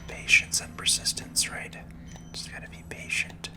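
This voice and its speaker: A person whispering gentle, unhurried ASMR commentary while playing a video game. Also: over music. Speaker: gaming video host.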